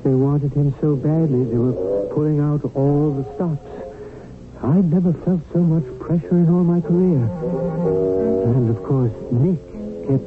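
A man's voice: on the high side, unhurried, close to an everyday voice. An actor playing an anxious, pressured career man, confiding his story as first-person radio drama narration. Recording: tinny old radio broadcast. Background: prominent music.

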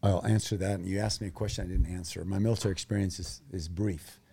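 A guest who grew up in Thailand recounts hard experiences with intense, emotional urgency. His voice is low and dark, with a mellow warmth. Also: steady tone, fast talker, vocal fry, husky. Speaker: guest, humanitarian group founder. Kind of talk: conversational interview talk.

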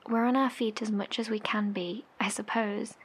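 An actress in an audio horror drama playing a worried, caring survivor broadcaster, hesitant, gentle and breathy. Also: female voice, medium pitch, fairly even intonation, normal speed, close to an everyday voice. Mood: sad, caring.

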